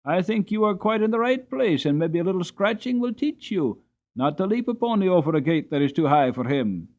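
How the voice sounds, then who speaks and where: Storybook groom acted by a man: folksy, frank, drily amused, slightly high male pitch. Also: very animated, fairly quick, darkish tone, highs cut off. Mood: dry amusement. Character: plain-spoken horse-loving groom, indignant and drily amused.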